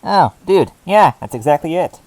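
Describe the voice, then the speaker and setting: A man's voice: fairly high pitch, animated, moderate pace, a little mellow, no echo, voice pushed up, rough recording. A man voicing a laid-back, cheeky minion creature in a story, talking in a nonchalant, dude-like way. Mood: nonchalant, teasing.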